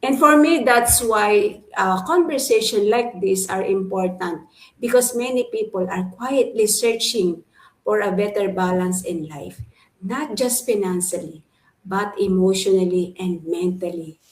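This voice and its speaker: A woman's voice: mid-range voice, unhurried. Earnest woman in an echoey room. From Philippines. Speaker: guest panelist. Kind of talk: live stream panel answer.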